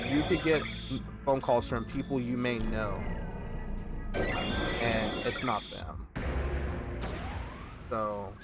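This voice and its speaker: Young guy ranting casually. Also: medium pitch. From Texas. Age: 20s. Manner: animated, complaining storyteller. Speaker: gaming channel host. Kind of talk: casual rambling chat while gaming.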